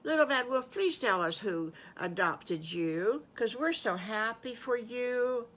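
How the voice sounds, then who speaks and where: A woman's voice: on the high side, animated, takes it slowly, fairly bright tone, pitched above the performer's own voice, exaggerated voice. An older woman voicing a friendly, curious young girl skunk in a children's story, earnest, childlike and pleading.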